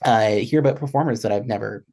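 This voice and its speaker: Low-voiced man thinking aloud on a panel. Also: fast, gravelly, darkish tone. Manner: thinking aloud, rephrasing as he goes. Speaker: panelist, radio broadcaster. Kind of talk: unscripted panel discussion.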